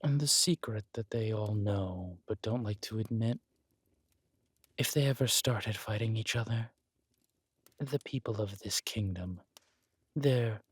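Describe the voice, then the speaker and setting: A man's voice: lowish voice, slightly rough, dry recording. A man playing a villainous conqueror king in a romantic roleplay audio, smug and flirtatious, teasing and haughty as he draws out his words.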